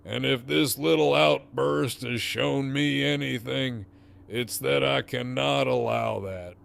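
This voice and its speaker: An actor playing a menacing, foul-mouthed gang leader in an audio drama, with a taunting, theatrical, drawn-out delivery. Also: male voice, medium pitch, creaky, a bit bright, full character voice. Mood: smug threatening.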